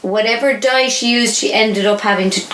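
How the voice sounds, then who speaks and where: A woman's voice: fairly high pitch, normal speed, fairly bright tone, slight background noise. A young adult woman with an Irish accent, chatting casually as a podcast host. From Ireland. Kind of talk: casual chat. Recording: roomy echo.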